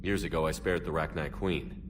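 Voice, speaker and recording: A man playing a steady, blunt military commander, the hero of a video game, in a terse, matter-of-fact way. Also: rumbling low, moderate pace, noisy background. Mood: even, courteous.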